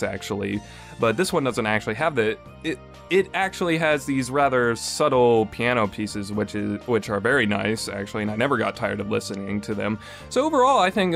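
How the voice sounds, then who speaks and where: Casual man reviewing over background music. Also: medium pitch. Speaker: podcast host and reviewer.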